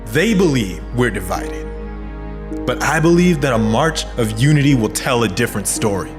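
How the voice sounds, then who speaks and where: An actor playing an earnest American community organizer in an audio drama, rallying and sincere. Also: male voice, medium pitch, expressive, normal speed, music in the background, natural voice. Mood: impassioned.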